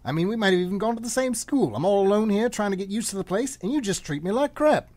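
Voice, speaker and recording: Male actor as friendly traveller, easily hurt, plaintive, colloquial, slightly high voice, dry sound, radio drama. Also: expressive, fast, darkish tone, dry recording. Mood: indignant, hurt. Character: friendly, wounded, eager Australian traveller Len, newly arrived volunteer teacher. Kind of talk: stage-play dialogue for radio theatre.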